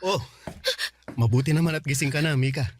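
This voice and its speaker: An actor playing a calm young man in a Filipino radio drama, patiently explaining and defending himself. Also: a little high, a little fast, slight background noise, lightly acted. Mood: calm, soothing. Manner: soothing, explanatory. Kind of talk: Tagalog radio drama acting.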